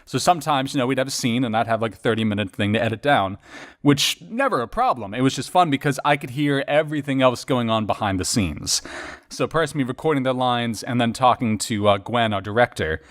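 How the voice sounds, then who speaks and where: A man's voice: medium pitch, lively intonation, a little fast. Casual, rambling male podcast voice actor. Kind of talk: casual reflective chat about acting work.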